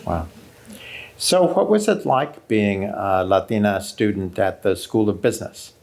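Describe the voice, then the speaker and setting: Curious gravelly male interviewer. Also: fairly low pitch, animated, a little slow, a little mellow. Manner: curious, hesitant. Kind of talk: interview questions.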